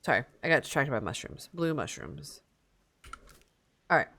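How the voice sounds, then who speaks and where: A woman's voice: rumbling low, big pitch swings, moderate pace, gravelly, a bit bright. An American woman live streamer chatting casually as she games, informal, sweary and easily distracted. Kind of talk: casual gaming livestream chat.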